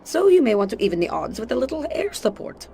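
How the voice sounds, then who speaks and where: A woman's voice: fairly high pitch, very animated, fast, warm tone, a little hiss or hum. An actress playing a self-assured, teasing female spy in a video game, with a flirtatious manner. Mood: coy, teasing. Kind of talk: video game cutscene acting.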